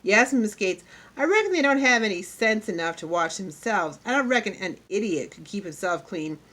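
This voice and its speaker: A woman voicing an earnest young schoolboy in an audiobook, reciting loudly and haltingly in a folksy way. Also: mid-range voice, big pitch swings. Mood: earnest, eager.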